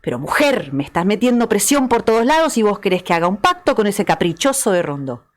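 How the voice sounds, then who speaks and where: A woman's voice: mid-range voice, very animated, a little fast, close to an everyday voice. A woman with an Argentine accent voicing a wary, proud male gaucho governor in a dramatized radio reading. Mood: wary, pressured. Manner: reluctant, doubtful.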